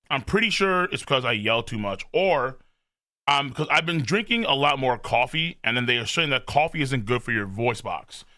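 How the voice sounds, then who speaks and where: Bright, forceful male livestreamer. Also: mid-range voice, clean tone. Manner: loud, animated, rambling, frequent swearing. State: mild on-and-off throat strain. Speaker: live streamer, host. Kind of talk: casual livestream chat, reading viewer messages.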